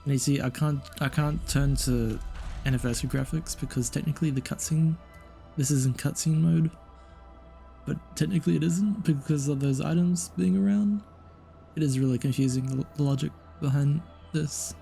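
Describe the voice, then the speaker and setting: A man's voice: fairly high pitch, normal speed, gravelly, warm tone. A man giving casual, puzzled, hesitant gameplay commentary, trailing off and repeating himself. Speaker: gaming video narrator. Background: game music.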